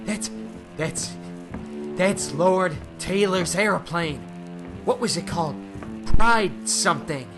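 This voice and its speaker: Old radio thriller man as grumbling, superstitious controlman, lightly raised pitch, heavy music behind. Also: takes it slowly, pauses often. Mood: alarmed. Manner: uneasy, grumbling. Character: nervous, superstitious airfield controlman, older-pal type, reluctant. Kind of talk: old time radio drama acting. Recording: loud music and storm effects behind voice.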